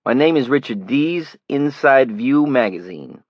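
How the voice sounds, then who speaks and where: A man's voice: medium pitch, unhurried, dry recording, dull audio, pitched above the performer's own voice. Man doing a nasal Brooklyn tabloid reporter. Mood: breezy. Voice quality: nasal, flat. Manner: pushy, glib salesman patter. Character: brash male tabloid reporter from the city.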